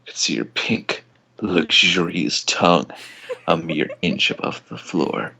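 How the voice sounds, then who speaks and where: Slow, low-voiced man reading aloud. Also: vocal fry, sharp tone, breathy edge, poor audio. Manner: breaks into laughter at the text. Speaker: fan fiction reader. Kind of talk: amateur dramatic story reading.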